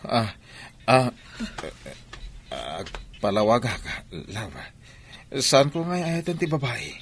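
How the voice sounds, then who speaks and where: An actor playing an evasive, pleading unfaithful husband in a Filipino radio drama, with a defensive manner and a Filipino accent. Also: male voice, mid-range voice, big pitch swings, slow pace, slight background noise.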